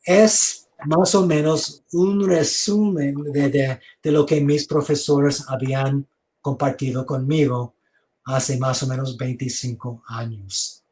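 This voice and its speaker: Slow, halting foreign-man lecturing. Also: medium pitch, fairly even intonation, darkish tone, rough recording. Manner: slow and halting, repeats words, invites questions. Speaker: seminary lecturer. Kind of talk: online theology lecture. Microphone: video call.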